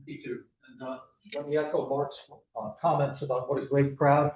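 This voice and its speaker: A man's voice: on the high side, flat delivery, normal speed, a little mellow. A man serving as club secretary, introducing guests in a friendly, plain-spoken way. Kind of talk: meeting announcements. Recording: dull echoey room with faint noise.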